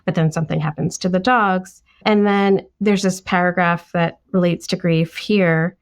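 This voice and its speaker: Thoughtful lower-voiced woman author. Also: normal speed. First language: Tamil. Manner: thoughtful, lively, reads passages aloud. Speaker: author guest. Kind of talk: reflective podcast interview.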